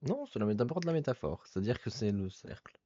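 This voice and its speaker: A man's voice: medium pitch, big pitch swings, fast, mellow, lo-fi sound. A male podcast guest who talks in elaborate, literary phrasing during a casual conversation.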